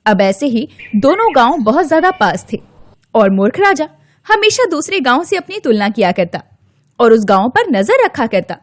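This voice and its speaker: A woman storyteller reads a scripted children's tale. Her voice is high-pitched and swoops expressively, with a dry studio sound. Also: very animated, normal speed, no echo.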